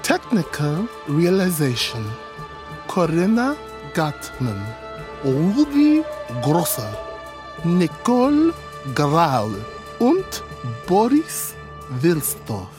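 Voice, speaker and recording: A woman's voice: fairly low pitch, huge pitch swings, very slow, long pauses. A woman reading out the scripted credits of a radio play as its announcer. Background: music.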